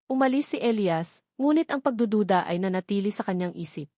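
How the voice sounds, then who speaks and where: A woman's voice: mid-range voice, expressive, a little fast, warm tone, dry recording, studio quality. A woman reading a scripted romantic drama story as its narrator.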